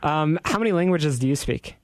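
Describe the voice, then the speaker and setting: A man hosting a weekly business radio show, chatting with a guest, joking and filling pauses with uhs. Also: a little high, animated, no echo. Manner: chatty, joking, many fillers.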